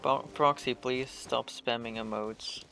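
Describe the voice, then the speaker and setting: A man chats casually on a game stream, his voice bright and gravelly with fry. He sounds offhand as he talks to viewers, with faint music in the background. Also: mid-range voice, steady tone, a little slow. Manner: offhand, greets viewers as they chat. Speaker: livestreamer.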